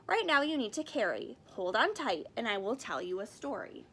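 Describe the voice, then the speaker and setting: Woman voicing a calm, high-pitched wise bear. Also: normal speed, a little hiss or hum. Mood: gently wise.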